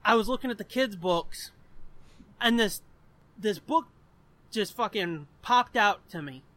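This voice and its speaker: A man's voice: sits high, expressive, measured pace, a bit bright. An American man in his twenties hosting a podcast, chatting casually and telling personal stories in a rambling, profanity-laced way. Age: 20s. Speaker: podcast host.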